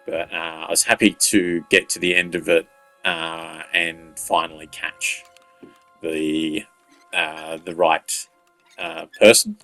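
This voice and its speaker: A man giving casual, unscripted video game walkthrough commentary in a halting, pause-filled way. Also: low-pitched, level tone, sharp tone, rough recording. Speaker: gaming video creator giving walkthrough tips. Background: faint game music.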